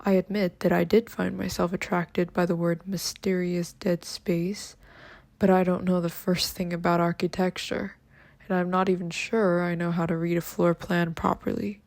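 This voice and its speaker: Give this story to a calm, curious writer of the macabre , played by a woman. The delivery is measured and subdued, with very little rise and fall and a slightly low register. It is scripted horror narration for an amateur drama series. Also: gravelly, dry recording. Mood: measured, ominous.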